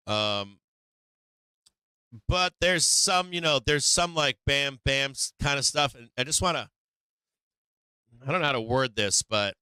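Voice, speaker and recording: A man hosting a live stock market show, talking through charts in a loose, unscripted way. His voice is bright and smooth, and the sound is dry and close. He often stalls and restarts mid-sentence as he hunts for the right phrasing. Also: mid-range voice, no room sound. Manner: halting, searching for words, frequent restarts. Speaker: host of a stock market livestream. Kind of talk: casual live chart commentary.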